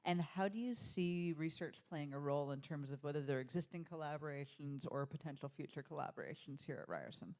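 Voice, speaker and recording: A panel moderator at a university event, briskly putting long questions with several parts to the panelists. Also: mid-range voice, expressive, darkish tone. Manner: brisk, posing long multi-part questions.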